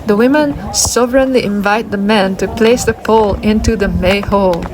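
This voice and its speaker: A woman's voice: medium pitch, fairly even intonation, normal speed. A woman reading scripted narration. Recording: loud music and crowd behind. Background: music, crowd.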